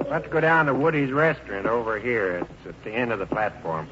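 An actor playing a folksy, helpful older American small-town station master in an old-time radio drama, with a homespun, neighborly manner. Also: male voice, medium pitch, sing-song, natural voice. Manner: homespun, drawn-out hesitations, neighborly. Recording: muffled, hissy old radio recording.

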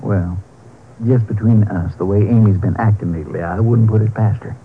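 An actor playing an easygoing small-town sheriff in a 1950s radio drama, speaking in a folksy, confiding way with a Texan accent. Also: male voice, lowish voice, fairly even intonation, moderate pace, a little hiss or hum, natural voice. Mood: confiding, skeptical. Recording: muffled 1950s radio recording.

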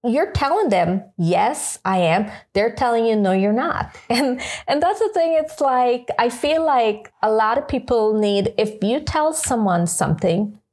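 A woman's voice: a little high, lively intonation, unhurried, few pauses, darkish tone. A woman giving her opinions conversationally in a group discussion, emphatic and quoting imagined exchanges. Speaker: panel discussion participant.

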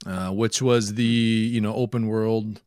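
A man's voice: lowish voice, steady tone, a little slow, a little mellow, clean tone, dry recording, studio quality. A jovial man hosting a casual podcast chat about video games and steering the discussion. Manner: jovial, laughs readily. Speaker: podcast host leading the discussion.

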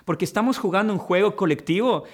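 A man's voice: high-pitched, lively intonation, quick pace. A man hosting a conversation, reflective and coaching in tone, often saying "mira" and calling his guest brother. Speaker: podcast host and life coach. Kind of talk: conversational interview.

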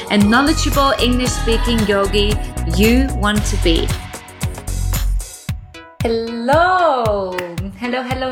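A woman hosting a podcast interview, upbeat and encouraging, speaking clearly for learners. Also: fairly high pitch. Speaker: podcast host.

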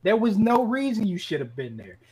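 A man with a fairly high voice co-hosts a casual sports debate. He is insistent and slangy, circling back to repeat his point as he argues. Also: very animated, fairly quick, a little mellow, slightly rough, poor audio. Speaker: sports podcast co-host. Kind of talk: casual, argumentative sports chat.

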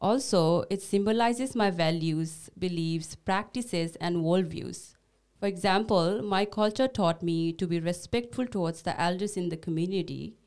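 A woman's voice: lowish voice, a little slow, darkish tone. A young woman whose first language is Nepali, a social worker, speaking in an earnest, carefully read way. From Bhutanese refugee, grew up in Nepal. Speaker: social worker, podcast co-host. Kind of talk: scripted conversation.

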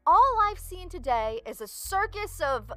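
Brief: actress for frazzled cop in horror comedy audio play, extremely high voice, animated swings, exasperated. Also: female voice, unhurried, faint music behind, exaggerated voice.

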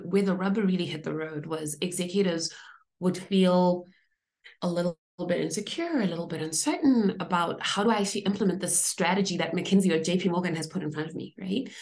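A woman's voice: lowish voice, a little fast, a little mellow. A woman from Zimbabwe, an executive coach, explaining her career as a podcast interview guest in an articulate way, laying out points in lists.

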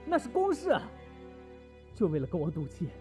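Man acting a mellow-voiced, jealous ex-boyfriend. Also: sits high, huge pitch swings, moderate pace, soft background music. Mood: accusing. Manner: emotional, accusing. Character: jealous, wounded ex-boyfriend, proud and accusing. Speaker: voice actor in an audio drama. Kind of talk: audio drama acting.

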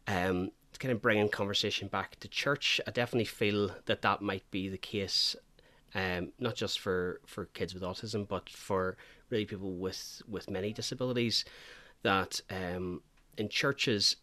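Bright, dry-sounding man. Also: fairly low pitch, fairly even intonation, moderate pace, dry recording. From Northern Ireland. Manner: earnest, hesitant with fillers. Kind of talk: conversational interview.

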